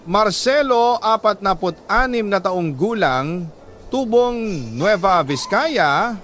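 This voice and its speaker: A higher-pitched male voice of a storyteller playing a weary middle-aged working man recounting his life. He speaks solemnly and earnestly, pausing for drama. There is some noise behind the voice. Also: a bit bright. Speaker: storyteller narrating a listener's letter. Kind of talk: dramatic scripted first-person story narration.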